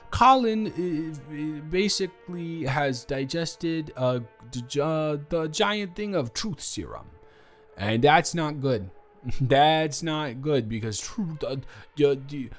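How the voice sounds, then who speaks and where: Drunk male roommate, acted by a man, audio drama: defensive lovesick rambler, stammering, very animated pitch, unhurried delivery. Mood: awkward, rambling. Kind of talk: improvised roleplay audio drama.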